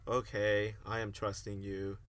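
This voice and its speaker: A man's voice: fairly low pitch, little pitch movement, measured pace, heavy background noise, close to an everyday voice. A young man playing a pompous, melodramatic philosophy student in an amateur comedic radio play, mixing grand declamation with slang. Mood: alarmed. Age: young adult. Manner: pompous mock-heroic declamation mixed with slang.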